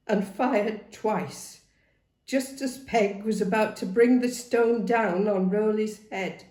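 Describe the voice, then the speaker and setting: A woman reading a novel aloud as dramatic, expressive narration. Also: mid-range voice. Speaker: story reader. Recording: roomy, echoing sound.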